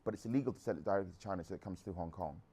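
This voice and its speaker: A man's voice: deep voice, racing, dark tone, slightly rough. A man in his thirties from England, a guest entrepreneur answering audience questions in a frank, rambling way with restarts. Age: 30s.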